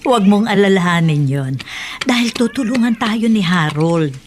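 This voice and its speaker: An actress playing a caring aunt who works as a laundress, with a reassuring and practical way of talking. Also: female voice, medium pitch, lively intonation, normal speed, darkish tone, slight background noise, lightly acted. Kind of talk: radio drama acting.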